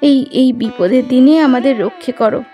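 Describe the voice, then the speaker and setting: A woman's voice: sits high, fairly even intonation, fairly quick, mellow, faint music behind. A woman playing a devout, anxious poor farmer's wife in a cartoon, with a lamenting, pleading manner. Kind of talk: cartoon voice acting.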